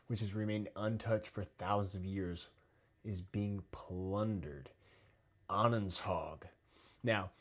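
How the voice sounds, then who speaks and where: An American man speaks in a casual commentary monologue, his voice sitting a little low. He talks in a heated, halting way, restarting phrases and letting his volume sink suddenly. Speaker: video commentator.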